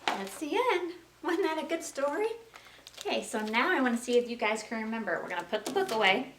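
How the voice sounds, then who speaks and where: A woman's voice: fairly high pitch, lively intonation, brisk. A woman storyteller reading aloud to young children in a sing-song way, prompting her listeners with questions. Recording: roomy, distant mic with faint noise.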